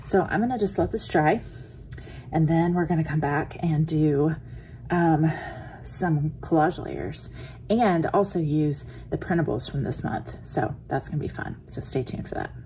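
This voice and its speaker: A woman with a hoarse morning voice, chatting casually as she walks through a craft tutorial at her desk, often trailing off into soft murmurs. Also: low-pitched, a little slow, a little hiss or hum, low-quality recording. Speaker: art journaling instructor. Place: home craft desk, indoors.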